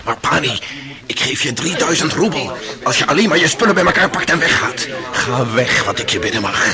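An actor playing an impulsive, reckless, passionate Russian gentleman in a radio play, with theatrical delivery. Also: medium pitch, lively intonation, fairly quick, crowd noise, lightly acted. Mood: insistent, contemptuous. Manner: theatrical, swings from boisterous to hushed ardour. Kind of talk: Dutch radio play acting.

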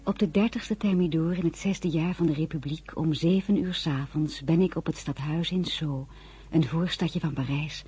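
A woman's voice: deep voice, warm tone, breathy edge. An actress playing a candid young French bride who narrates her memoirs in a radio drama.

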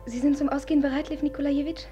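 A woman's voice: high voice, steady tone, rapid-fire, warm tone, faint music behind, natural voice. An actress playing Aglaya, a proud and determined young woman, speaking in a commanding, cutting way in a 1950s radio play. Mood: cold determined.

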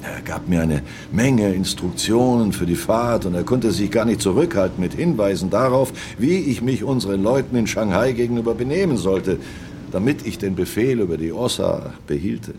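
A man's voice: lowish voice, lively intonation, normal speed, noisy background. An actor playing an older merchant seaman in a radio play, ruminating and reminiscing in grief over his lost captain. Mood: mournful reminiscing. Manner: ruminative, reminiscing, repeats himself. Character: brooding old merchant seaman, loyal, grieving his captain. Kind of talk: radio play monologue, storytelling.

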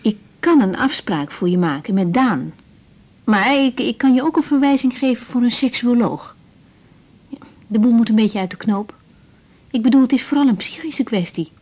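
Mellow-voiced, tactful young doctor. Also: female voice, a little high, lively intonation, moderate pace. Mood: reassuring, practical. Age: young adult. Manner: informal, tentative, reassuring. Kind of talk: naturalistic 1980s radio drama acting.

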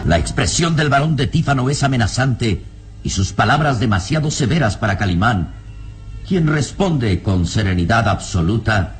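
A man's voice: mid-range voice, animated, normal speed. A man reading scripted narration for a radio drama in a formal, dramatic manner. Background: music.

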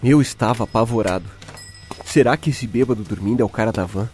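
A man's voice: lowish voice, a little fast, a little mellow, faint music behind, close to an everyday voice. A Brazilian man playing a frightened young captive who gives an anxious, colloquial first-person testimony in a horror audio drama. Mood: fearful. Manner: anxious, colloquial confessional telling.

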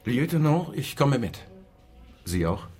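An actor playing a dry, sardonic small-town police lieutenant in a radio crime drama. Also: male voice, mid-range voice, sing-song, unhurried, darkish tone. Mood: curt.

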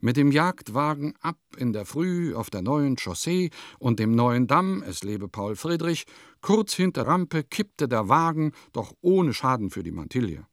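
An actor playing a wry diarist of bygone provincial life in a radio play, with deliberate, declamatory phrasing. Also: male voice, mid-range voice, sing-song, dry recording, clean studio sound. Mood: excited, theatrical. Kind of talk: literary radio play monologue.

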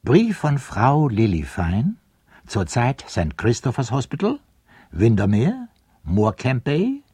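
A man's voice: medium pitch, wildly swooping pitch, takes it slowly, mellow, breathy edge, dry recording, exaggerated voice. A man narrating a radio play, announcing each letter in a dry, formal manner.

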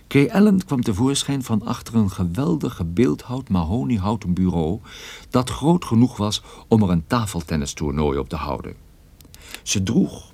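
A man acting as a wry, world-weary private detective narrating a radio drama in a dry, measured, husky way. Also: low voice, darkish tone, dry recording, exaggerated voice. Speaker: narrator and lead actor in a radio play.

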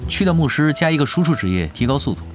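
A man playing a laid-back, confident veteran gamer in an audio drama, with casual, unhurried assurance. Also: a little high, very animated. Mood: calm, assured. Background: faint music.

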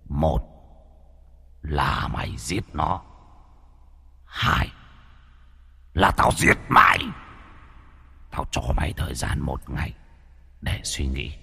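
A man voicing a hushed, menacing old sorcerer. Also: takes it slowly, vocal fry, pauses often, husky, no echo, full character voice. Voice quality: low, hushed, threatening. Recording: faint music behind.

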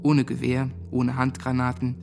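An actor playing a haunted young former Nazi school pupil, giving a sombre, literary recollection in a German radio drama. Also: male voice, mid-range voice, completely flat delivery, normal speed, vocal fry, over music, close to an everyday voice.

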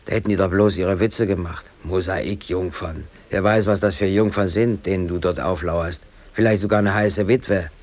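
A man's voice: deep voice, steady tone, moderate pace, darkish tone. An actor playing an aggrieved East German worker and amateur photographer in his late thirties, delivering a rambling, brooding radio drama monologue. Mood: wistful, rueful. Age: late 30s. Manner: brooding, rambling, swinging between muttering and outbursts.

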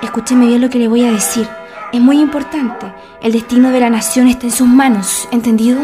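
A woman's voice: a little high, fairly even intonation, a little fast, dark tone, lightly acted. A woman playing a wary, secretive informant in a radio drama, cautious and grave, with formal wording. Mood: urgent, warning. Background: background music.

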